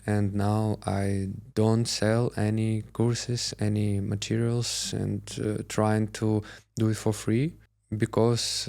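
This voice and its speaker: Brief: Russian-accented male guest, low gravelly voice, halting with fillers. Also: darkish tone. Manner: hesitant, many filler pauses. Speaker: crypto influencer guest. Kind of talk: interview answers.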